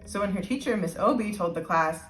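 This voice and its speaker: A woman reads a children's story in a medium-pitched voice. Her narration is deliberate and expressive, with careful emphasis, and the room gives it a clear echo. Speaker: storybook reader. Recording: roomy, echoey sound.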